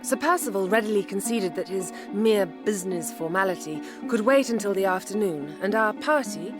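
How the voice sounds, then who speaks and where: Resolute young gentlewoman, played by a woman, medium pitch, articulate, dry wit, audio drama, light music. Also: expressive, close to an everyday voice. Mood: narrating. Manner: measured, articulate, wry. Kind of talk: period audio drama narration and dialogue.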